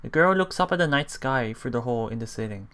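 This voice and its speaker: Bright-voiced man, let's play host. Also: medium pitch, expressive, quick pace, clear, resonant tone. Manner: reacts aloud, repeats phrases. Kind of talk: reading a visual novel aloud with casual commentary. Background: game music.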